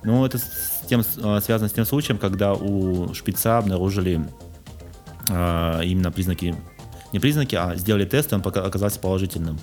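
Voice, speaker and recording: A man's voice: low-pitched, brisk, a little mellow, faint music behind. A young man co-hosting a casual news podcast, joking around and stumbling a little as he reads items out. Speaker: podcast co-host. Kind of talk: casual chat about news, reading items aloud.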